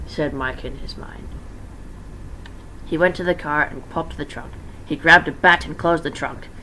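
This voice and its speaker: A man's voice: a little high, unhurried, bright, forceful tone, rough recording. A young man reading fan fiction aloud as an informal dramatic narration, breaking off for casual asides. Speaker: amateur fan-fiction reader. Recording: faint background noise.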